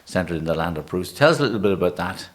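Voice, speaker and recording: Man, low voice, interviewer, gentle hesitant questions. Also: expressive, racing.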